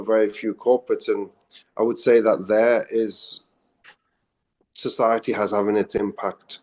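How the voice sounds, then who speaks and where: A man with a fairly low voice that sounds husky today. He talks in a measured, thoughtful way, hedging his points. He is speaking in a radio studio. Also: darkish tone. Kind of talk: casual radio chat.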